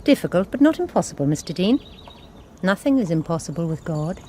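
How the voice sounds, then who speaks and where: Brief: a crisp, firm nun, lively pitch, faint hiss behind. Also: female voice, a little fast. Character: composed young nun leading a mission. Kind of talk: radio drama acting.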